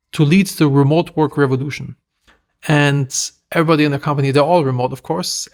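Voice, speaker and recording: A man with a German accent, a podcast guest telling stories in a lively, self-deprecating way. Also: a little high. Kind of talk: casual interview talk.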